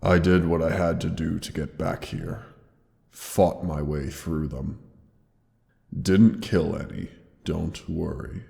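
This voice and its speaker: A man voicing a wounded, dying ancient dragon who speaks to his beloved with slow, weary tenderness. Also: fairly even intonation, darkish tone, in a large hall, exaggerated voice. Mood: tender, pained. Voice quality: deep gravelly voice. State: wounded, pained. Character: dying ancient male dragon, gentle and loving. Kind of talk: audio roleplay drama.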